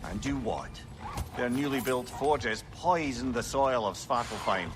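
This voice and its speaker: An actor playing a talkative, learned old counsellor in a video game, with an eloquent, theatrical way of talking. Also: male voice, mid-range voice, expressive, a little slow, background noise, natural voice. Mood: grim explaining. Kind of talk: video game dramatic acting.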